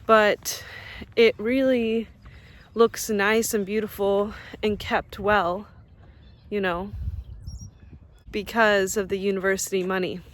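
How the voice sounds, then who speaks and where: A young woman from Russia, whose first language is Russian, chatting casually to her viewers while walking outdoors on a campus and laughing often. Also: mid-range voice. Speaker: vlogger. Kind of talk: casual vlog walk-and-talk.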